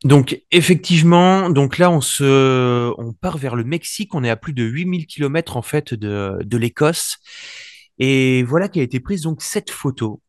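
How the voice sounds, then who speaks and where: A man's voice: mid-range voice, no room sound, clean studio sound. A man co-hosting a paranormal news show, talking casually and hesitantly with many fillers and restarts. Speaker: co-host. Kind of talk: casual discussion.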